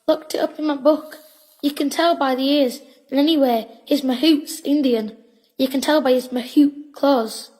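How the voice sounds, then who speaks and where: A woman's voice: sits high, steady tone, moderate pace, darkish tone, natural voice, low-quality recording. A woman playing a teasing, playful working-class child in a Victorian audio drama, with clipped, informal speech. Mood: earnest.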